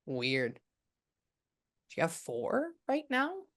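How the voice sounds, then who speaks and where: A woman's voice: medium pitch, huge pitch swings, a bit bright. A woman in her thirties, a psychic medium, giving a chatty, rambling reading over a video call. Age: 30s. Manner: chatty and rambling, quick bursts of surprise.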